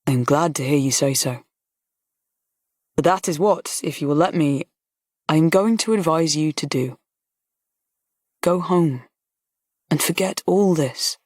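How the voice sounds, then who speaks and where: A composed, good-natured colonel, read by a woman, low-pitched and even, talking plainly and gently. The voice is close and dry, with no room sound. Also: unhurried, pauses often, no room sound. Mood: firm, kind. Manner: pleasant, steady, gently frank. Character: kind, calm older male colonel. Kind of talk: audiobook character voice.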